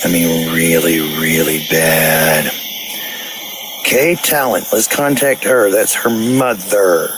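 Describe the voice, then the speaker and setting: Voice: low voice, fairly even intonation, slow pace, music in the background. A person ranting casually in a blunt, coarse, profane way, heard on a played-back voice clip.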